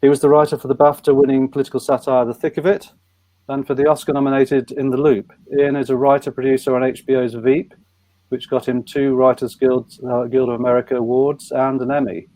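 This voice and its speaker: A man hosting an online panel event, introducing guests and asking questions in a somewhat halting way. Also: medium pitch, little pitch movement, mellow, no room sound. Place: indoors on a live online video call.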